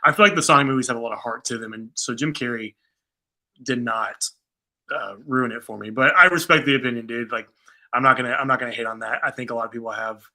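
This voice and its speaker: A man with a very bright, punchy voice at an average male pitch. He talks like a co-host on a casual fan show, informal and conversational, weighing opinions loosely as he goes. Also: fast talker, poor audio. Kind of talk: casual conversational film discussion.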